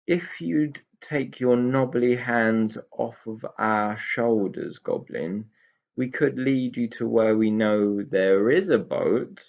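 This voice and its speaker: Man playing meek little pixie, storybook character, hesitant, pitched up, slightly dull recording. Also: slow pace, vocal fry, a little mellow. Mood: frightened, stammering. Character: timid, frightened little pixie. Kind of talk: children's storybook character reading.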